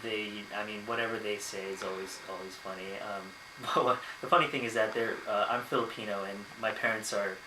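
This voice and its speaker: A man's voice: on the low side, fairly even intonation, moderate pace, few pauses. A young man from America talking casually to camera in his bedroom, hesitant and full of ums and uhs. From United States. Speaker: vlogger. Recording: echoey room, noisy background.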